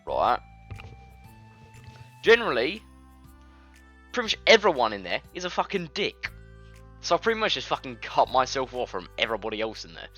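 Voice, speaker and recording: A man's voice: medium pitch, big pitch swings, normal speed, pauses often, sharp tone, breathy edge, faint music behind. A young British man reading a visual novel aloud and chatting casually, offhand and sweary. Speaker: gamer narrating a visual novel. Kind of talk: casual reading and chat over gameplay.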